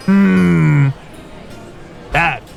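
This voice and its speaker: Creaky, blustering fantasy artificer. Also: male voice, fairly high pitch, extremely slow, soft background music, full character voice. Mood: indignant, alarmed. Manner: blustery, exclamatory, colourful old-fashioned slang. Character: grumpy, indignant artificer, theatrical reluctant old comrade. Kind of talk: fantasy audio drama acting.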